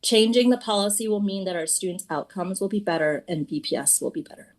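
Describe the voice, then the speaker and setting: A woman in her thirties, a teacher and parent, reading prepared public testimony in a steady, earnest, formal way at a school committee meeting. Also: medium pitch, fairly quick. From Boston area.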